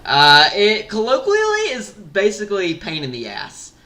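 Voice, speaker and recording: A man co-hosting an esports podcast, talking in an excitable, animated way with lots of fillers and restarts. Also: sits high, unhurried. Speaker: podcast co-host. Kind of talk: casual esports discussion.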